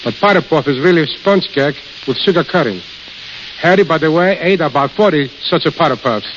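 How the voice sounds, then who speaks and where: A man's voice: a little high, animated, a little fast, fairly bright tone. A plain-spoken man being interviewed as a guest on a 1930s radio show, telling anecdotes. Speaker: studio caterer, interview guest. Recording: hissy, crackly dull 1930s radio recording.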